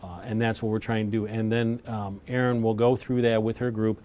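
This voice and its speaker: A man with a fairly low voice leads a technical briefing for visitors. He explains in plain, measured terms, with a light rumble in the room. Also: level tone, warm tone. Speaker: lab lead presenting to visitors. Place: laboratory tour, indoors.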